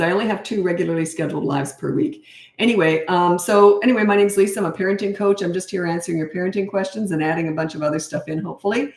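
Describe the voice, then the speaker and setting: Chatty, slightly hoarse woman, echoey room. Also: mid-range voice, a little mellow. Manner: chatty, blunt, practical advice with asides. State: losing her voice, slightly hoarse. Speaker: parenting coach. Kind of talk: casual livestream Q&A.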